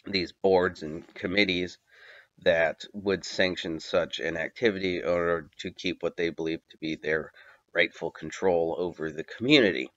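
A man narrating a video essay in an unscripted, halting way, with many fillers and long spoken lists. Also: deep voice, fairly even intonation, fairly bright tone, no room sound, dull audio. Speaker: commentator.

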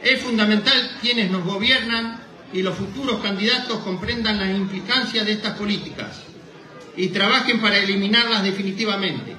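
A man's voice: high-pitched, pushed and bright. A middle-aged Argentine man formally reading out a prepared speech in Spanish at a microphone, stumbling now and then. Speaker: president of a rural association giving a speech. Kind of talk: formal speech read from paper. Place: indoor hall, at a lectern. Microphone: microphone on a boom stand, recorded from a distance. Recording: echoey hall PA, distant microphone.

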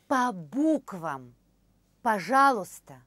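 A woman in her thirties demonstrating phrases for a language course, repeating each one slowly and carefully in a studio. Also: medium pitch, sing-song, a bit bright, no room sound. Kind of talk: slow, clear phrase demonstration. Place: studio with orange backdrop.

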